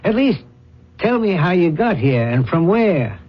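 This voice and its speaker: A man playing a blunt, commanding older industrialist father in a radio drama, talking in brisk, clipped, forceful phrases. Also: sits high, big pitch swings, exaggerated voice. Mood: stern. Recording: dull vintage radio broadcast recording.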